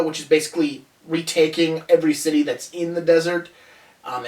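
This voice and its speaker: Higher-voiced man in an echoey room. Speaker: host of a movie review video. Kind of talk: casual countdown review commentary. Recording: roomy echo, distant from microphone.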